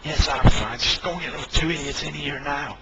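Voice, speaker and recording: A man playing a bossy, blustering military commander who is quick to blame, in an amateur audio drama. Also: fairly high pitch, background noise, lightly acted. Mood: commanding.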